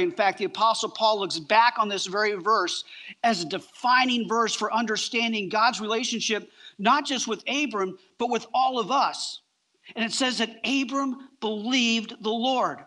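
A middle-aged man preaching a sermon on a church stage, emphatic, with deliberate pauses between short phrases. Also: high-pitched, bright, forceful tone. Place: large church auditorium, on stage.

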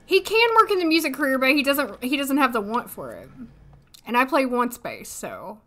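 A woman in her thirties, hoarse today, chatting casually and thinking aloud as she streams a life-sim game from a desk at home. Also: high-pitched, big pitch swings, normal speed, pushed and bright. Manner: chatty, thinking aloud, frequent little laughs. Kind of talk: casual gameplay commentary. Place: home room, seated at desk.

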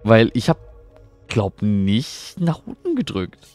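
A man's voice: lowish voice, huge pitch swings, slow pace, a little mellow. A middle-aged man chatting casually while streaming a game from home, rambling and answering his chat, often puzzled. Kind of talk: casual live gameplay commentary. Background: faint game music.

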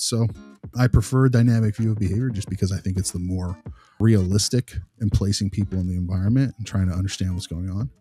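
A man's voice: deep voice, dark tone, breathy edge. A man talking conversationally as a podcast host, explaining at length in an earnest, emphatic way. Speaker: podcast host discussing behavior analysis. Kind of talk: conversational discussion.

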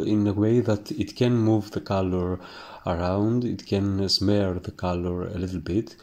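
Greek man, painting teacher, slow halting delivery, lowish voice, lots of ums. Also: darkish tone. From Greece. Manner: halting, searching for words, many fillers. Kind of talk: instructional voice-over while painting.

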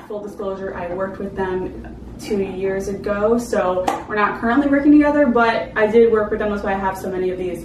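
A young adult woman giving a casual, chatty product review to camera in an informal way. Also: medium pitch. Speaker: food vlogger reviewing products. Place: small indoor room, seated at a table. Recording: roomy, distant mic with clear background noise.

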